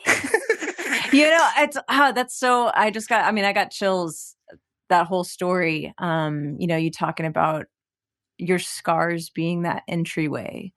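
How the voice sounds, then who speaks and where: A woman from the United States, a singer-songwriter guest in a conversational interview, talking in an animated way as she searches for her words. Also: on the low side, a little slow. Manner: animated, searching for words, many fillers and restarts.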